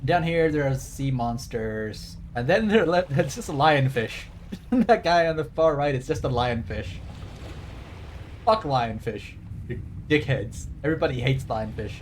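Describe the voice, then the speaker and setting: A man with a medium-pitched voice commentates on a game for a livestream. He is casual and wry, often digressing to explain things. Faint ambient noise sits behind him. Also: expressive, a little slow. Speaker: game streamer.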